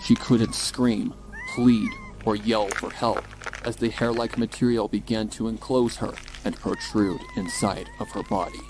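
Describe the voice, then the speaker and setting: A man reading a dark horror story as a dramatic scripted narration. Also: mid-range voice, wildly swooping pitch, unhurried, background noise. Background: music.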